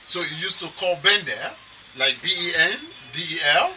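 A man's voice: sits high, animated, normal speed, sharp tone, a little hiss or hum, low-quality recording. A man from Nigeria with a Nigerian accent, a comedian talking emphatically as an interview guest, often repeating himself. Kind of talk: casual interview chat.

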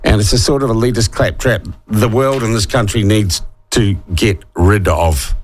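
A middle-aged man with a New Zealand accent, husky from a head cold, hosting a talk radio show in a sarcastic, opinionated monologue. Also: fairly low pitch, normal speed. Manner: sarcastic, scornful asides.

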